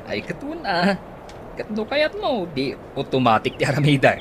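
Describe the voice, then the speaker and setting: An actor playing a mischievous, scheming old husband in an Ilocano radio comedy drama, with an Ilocano accent and a chuckling, exclamatory manner. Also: male voice, high-pitched, wildly swooping pitch, normal speed, heavily acted. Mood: playful, cheeky. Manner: chuckling, exclamatory, swooping delivery. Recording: noisy radio drama with ambience.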